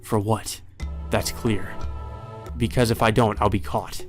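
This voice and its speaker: A man's voice: fairly low pitch, fairly even intonation, a little slow. A man reading a visual novel aloud as dramatic first-person narration. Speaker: let's play reader. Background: music.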